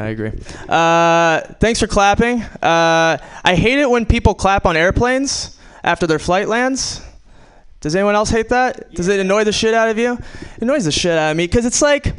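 Higher, smooth-voiced man griping. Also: a bit bright. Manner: quick, teasing crowd work then griping. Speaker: stand-up comedian. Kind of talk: open-mic stand-up set.

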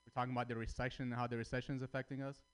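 A man from Armenia, raised in Russia, performing a stand-up comedy set with crude, deadpan punchlines. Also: mid-range voice, racing, smooth. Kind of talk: stand-up routine at open mic.

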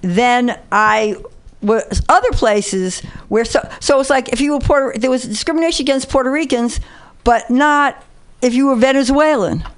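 A woman's voice: high-pitched, moderate pace. A woman from the Bronx in New York hosting an interview, speaking casually and sharing recollections in conversation. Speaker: interview host.

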